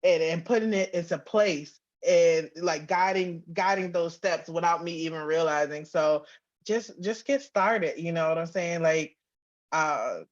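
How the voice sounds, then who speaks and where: A woman giving a casual, informal interview, thinking aloud and repeating phrases as she goes. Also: lowish voice. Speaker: interview guest, audio drama writer. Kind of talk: casual chat. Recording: slightly dull, lo-fi audio.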